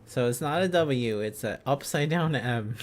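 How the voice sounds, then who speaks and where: A man's voice: mid-range voice, lively intonation, a little slow. A man hosting a casual livestream, thinking aloud as he studies, in a rambling, silly, exclaiming manner. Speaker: livestream host.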